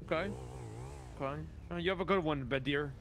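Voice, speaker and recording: Man acting casual cop, blunt slang, cocky, fairly high male pitch, game roleplay, faint background noise. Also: a little fast, a bit bright, natural voice. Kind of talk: improvised online roleplay.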